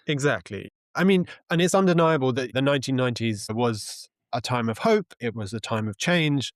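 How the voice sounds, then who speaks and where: A man speaking as an interview guest, explaining things in a thoughtful, halting, conversational way. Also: mid-range voice, expressive, no room sound, studio quality. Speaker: interview guest, journalist and author.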